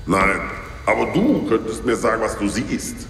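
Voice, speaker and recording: A man's voice: fairly low pitch, sing-song, normal speed, vocal fry, a bit bright, a little hiss or hum, full character voice. An actor playing a grumbling, complaining adult male sidekick. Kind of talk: audio drama acting. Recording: music bed and long reverb.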